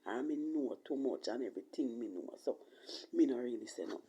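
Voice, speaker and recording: A woman with a Jamaican accent telling a personal story casually in patois, in a halting, emphatic way that repeats phrases. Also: a bass voice, expressive, warm tone, husky, lo-fi sound. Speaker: vlogger telling own life story.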